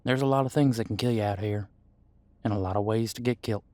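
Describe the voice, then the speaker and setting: Demon detective male actor, audio drama: deeper voice, laid-back, reassuring partner, folksy phrasing, very dry sound. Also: dark tone, no room sound, close to an everyday voice. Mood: explaining, matter-of-fact. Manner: folksy, casual, reassuring, hesitant pauses. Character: easygoing male demon homicide detective mentoring new partner. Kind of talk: audio roleplay drama, conversational monologue.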